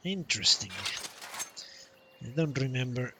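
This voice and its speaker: Slow-paced man streaming over game noise. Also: medium pitch, expressive. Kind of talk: casual live gameplay commentary. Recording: clear game noise behind voice. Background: game ambience and sound effects.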